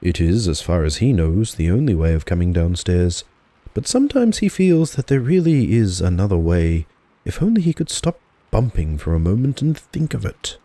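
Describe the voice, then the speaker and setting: A man giving a relaxed, dramatised narration of a children's storybook as an audiobook narrator. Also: low-pitched, normal speed, dry recording.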